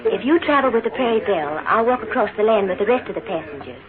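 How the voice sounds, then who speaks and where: Woman acting a haughty lady, lively intonation. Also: mid-range voice, quick pace, crowd noise, close to an everyday voice. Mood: icy, scornful. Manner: formal, cutting, haughty. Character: proud, icily polite young lady riverboat passenger. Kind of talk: 1940s radio drama acting. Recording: tinny 1940s radio recording, busy background.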